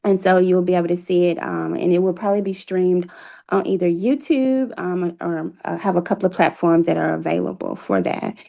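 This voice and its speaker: A woman's voice: fairly low pitch, a little fast, creaky, warm tone. A woman speaking as an interview guest, explaining plans in an earnest, casual way with frequent ums. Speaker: playwright and producer, interview guest.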